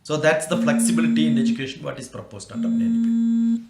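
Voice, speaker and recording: A man's voice: a little high, animated, fast talker, creaky, warm tone, reverberant, faint music behind. A man with an Indian accent explaining informally as an academic, teaching through everyday examples. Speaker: academic presenter and mathematician.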